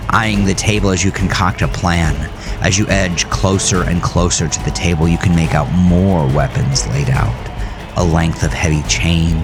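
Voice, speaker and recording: A man's voice: sits low, vocal fry. A man reading his own horror story as a dramatic, intense scripted narration. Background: music under the voice.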